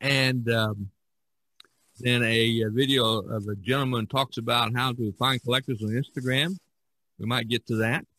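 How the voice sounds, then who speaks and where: Husky American man hosting a podcast. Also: medium pitch, steady tone, normal speed, sharp tone, no room sound, low-quality recording. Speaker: podcast host.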